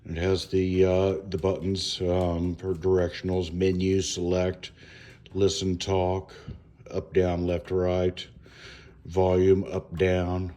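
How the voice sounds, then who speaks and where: Man, deep voice, mellow tone, halting delivery, casual DIY walkthrough. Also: completely flat delivery. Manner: halting, hesitant, pausing often mid-sentence. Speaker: home DIY reviewer. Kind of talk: casual unscripted hands-on walkthrough. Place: indoors at home.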